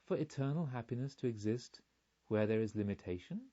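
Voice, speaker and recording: A man reading a storybook, voicing a serene woman with a hushed, measured, dreamy manner, on a slightly muffled recording. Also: medium pitch, animated, voice pushed down, natural voice. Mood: dreamy, wondering. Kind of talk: audiobook storytelling.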